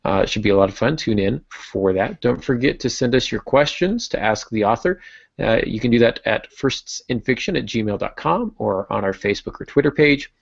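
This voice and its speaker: A man's voice: medium pitch, animated, normal speed, creaky, no room sound, lo-fi sound. A man hosting a podcast in casual, informal chat, often thinking aloud with a self-deprecating touch. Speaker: podcast host.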